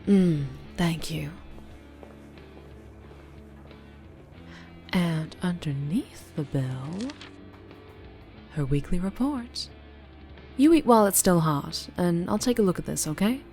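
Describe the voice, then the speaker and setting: A self-assured Southern vampire woman, acted by a female performer, speaking low over clear music. She is flirtatious and unhurried, teasing with pet names in an ASMR audio roleplay. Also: lively intonation, darkish tone. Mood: relaxed, flirtatious.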